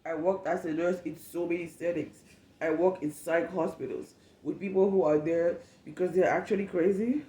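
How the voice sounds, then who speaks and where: A woman's voice: lowish voice, fairly even intonation, normal speed, dark tone, roomy sound, poor audio. A woman in her late twenties from Cameroon with a Cameroonian accent, hosting a candid solo podcast, frank and emphatic with repetition. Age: late 20s. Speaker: podcast host.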